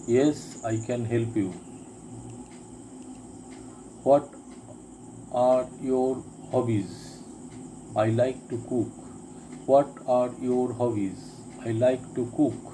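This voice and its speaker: A man teaching a spoken lesson, reading each drill sentence twice with deliberate care. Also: medium pitch, fairly even intonation, mellow, a little hiss or hum, poor audio. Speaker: English teacher. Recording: crickets and insects chirping behind.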